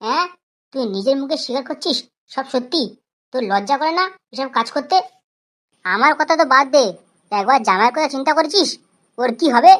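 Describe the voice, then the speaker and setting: A woman's voice: high voice, expressive, fairly quick, long pauses. A woman playing a nosy, bawdy middle-aged village mother-in-law in a comedy cartoon, scolding and suggestive. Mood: shocked, scolding. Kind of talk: Bengali comedy cartoon dialogue. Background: background music.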